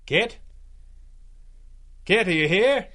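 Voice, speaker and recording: Bright, confident masked hero. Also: male voice, high voice, animated, extremely slow, faint music behind, full character voice. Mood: concerned. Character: masked vigilante hero, confident, wry, protective boss. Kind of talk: 1930s-style radio drama acting.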